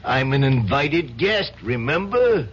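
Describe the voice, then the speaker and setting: An actor playing a tough but loyal mobster friend in an old-time radio drama, with slangy, colloquial talk. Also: male voice, mid-range voice, sing-song, slow pace, fairly bright tone. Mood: amused, easygoing. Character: streetwise, loyal mobster friend, adult man. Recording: dull, noisy old radio recording.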